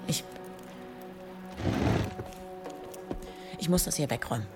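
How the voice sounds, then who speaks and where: An actress in a German radio drama playing a guarded medieval warrior woman who speaks hesitantly and deliberately. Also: deep voice, animated, over loud music. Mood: startled, uneasy. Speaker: actor in an audio drama.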